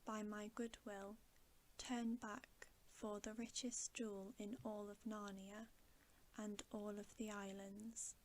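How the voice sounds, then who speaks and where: Hushed, unhurried woman reading an ASMR story. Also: medium pitch, level tone, slight background noise. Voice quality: soft, hushed, often whispery. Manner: slow, soothing, measured. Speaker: ASMR storyteller, channel host.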